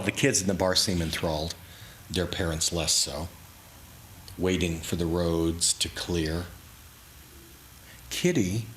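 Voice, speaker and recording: A man giving a dramatic, reflective reading of his own memoir story, with deliberate pauses. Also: on the low side, a bit bright. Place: indoors in a historic house, live reading. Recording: clearly noisy live room recording.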